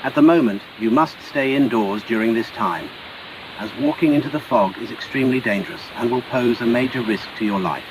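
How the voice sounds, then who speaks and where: A man's voice: medium pitch, brisk, natural voice. Guy acting as british emergency broadcast announcer, grave n formal, lots of hiss. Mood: grave, urgent. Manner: formal, urgent. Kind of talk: scripted emergency news announcement. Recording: hissy, noisy audio.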